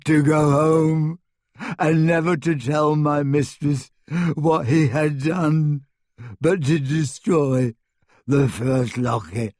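A man voicing a frightened old male elf servant in an audiobook, abject and devoted, speaking haltingly through sobs. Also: a little high, darkish tone, no room sound, clean studio sound, voice pushed up. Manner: sobbing, halting, stammering, speaks of himself in third person. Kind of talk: audiobook character acting.

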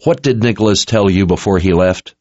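A determined warrior leader, voiced by a male audiobook narrator, fires off terse, demanding questions. The voice is low and masculine, on a slightly muffled fantasy recording. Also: brisk, darkish tone, no room sound, close to an everyday voice. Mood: stern, demanding. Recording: muffled, dull-sounding recording.

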